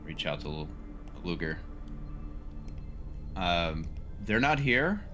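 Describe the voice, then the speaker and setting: A man role-playing in a tabletop game, speaking casually in a halting, understated way. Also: low-pitched, big pitch swings, over music. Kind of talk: casual improvised role-play.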